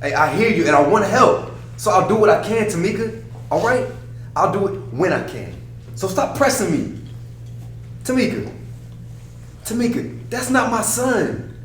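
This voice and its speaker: Man acting a brusque young guy, animated, echoey. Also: high-pitched, normal speed, pauses often. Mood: exasperated, shouting. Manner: slangy, brusque. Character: harried, evasive young man, slangy and dismissive. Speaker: actor at a table read. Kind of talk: table read of a film script. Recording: distant, echoey room with hum.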